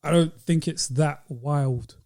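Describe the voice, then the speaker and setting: Mellow, higher-pitched British man. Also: slow pace, creaky. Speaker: podcast host. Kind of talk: casual discussion.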